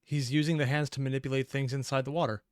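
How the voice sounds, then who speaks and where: A man's voice: medium pitch, flat delivery, fast, background noise. A man hosting a reaction video, giving casual running commentary in a musing, questioning, wry way.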